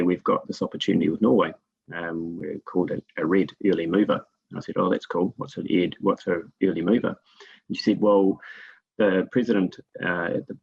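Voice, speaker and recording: Gravelly, very deep-voiced man from New Zealand. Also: no room sound, dull audio. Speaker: guest expert in forest monitoring. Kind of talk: conversational interview storytelling.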